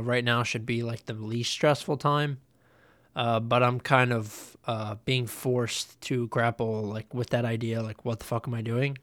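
Young male host, nasal head cold, rambling, middle-pitched, dry close recording. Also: clear, resonant tone, no room sound. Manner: rambling, relaxed, with many ums and uhs. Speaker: podcast host. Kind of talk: casual solo podcast chat.

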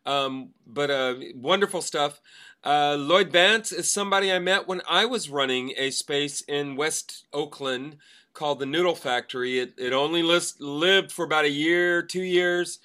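A man's voice: a little high, unhurried, bright, forceful tone, clean tone. A man co-hosting a podcast in a casual, conversational way. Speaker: podcast co-host, actor.

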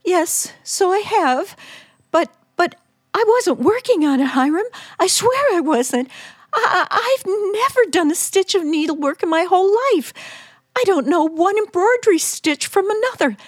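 An actress playing a frantic, terrified middle-aged woman held captive, halting and stammering in the manner of an old radio suspense play. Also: extremely high pitch, expressive, no room sound, clean studio sound. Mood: frantic pleading. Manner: breathless, stammering, pleading, halting. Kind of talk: old-time radio suspense drama acting.

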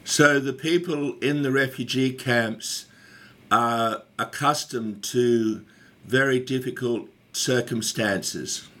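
A man asks an interviewee calm, measured questions in a radio interview. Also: mid-range voice.

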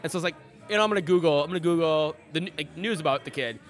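A man's voice: on the high side, rapid-fire. A man co-hosting a sports podcast, rambling casually with lots of fillers, restarts and swearing. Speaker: podcast co-host.

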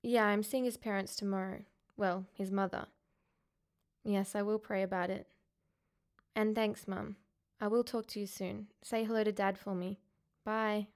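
An actress playing an earnest, caring school counsellor in a radio drama, talking in a gentle, confiding way as if on a phone call. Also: female voice, medium pitch, level tone, a little slow, lightly acted. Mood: warm, pensive.

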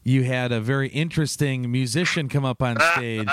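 A man's voice: medium pitch, normal speed, fairly bright tone, smooth, dry recording, studio quality. A man hosting a casual interview chat, rambling and easygoing, often laughing. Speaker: podcast host.

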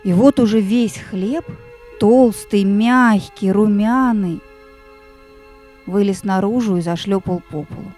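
A woman narrator reading a scripted fairy tale in an expressive, deliberate storytelling manner. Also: mid-range voice, soft background music, close to an everyday voice.

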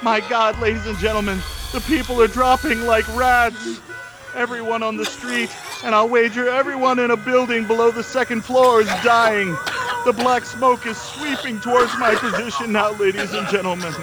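High-pitched actor as a theatrical 1930s radio newsman. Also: steady tone, moderate pace, over music, rough recording. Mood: panicked, doomed. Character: male 1930s radio reporters, frantic eyewitness or grumpy indifferent correspondent. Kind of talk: 1930s radio drama acting, spoof.

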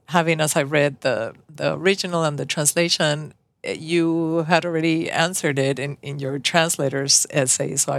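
An interviewer asking warm, appreciative questions in easy conversation. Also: mid-range voice, vocal fry, dry recording.

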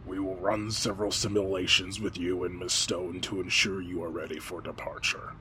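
A man acting as an authoritative military general in a sci-fi audio drama, speaking in a formal, measured, solemn way. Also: sits low, level tone, noisy background, lightly acted. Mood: firm, businesslike.

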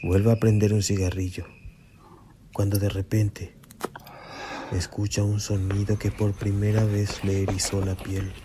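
A man's voice: lowish voice, little pitch movement, unhurried, noisy background. A man narrating a scripted horror radio story with dramatic suspense. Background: music and night insects.

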